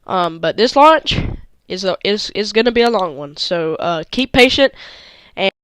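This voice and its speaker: A man with a stuffy, nasal voice giving hobby launch countdown commentary, halting with many fillers. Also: high voice, expressive, a bit bright, smooth, dry recording. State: stuffy nose.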